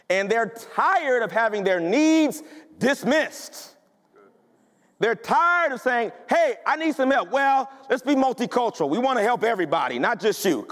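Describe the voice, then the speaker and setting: Male pastor, very high voice, punchy conversational preaching, church with long echo. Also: fairly bright tone. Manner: emphatic, conversational preaching with rhetorical questions. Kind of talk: sermon. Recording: long hall reverb.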